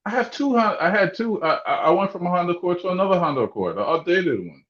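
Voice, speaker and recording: A man's voice: high voice, lively intonation, quick pace, fairly bright tone. A man hosting a sports talk show, rambling and interrupting himself with many restarts. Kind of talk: casual sports radio banter. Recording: echoey room, dull muffled sound.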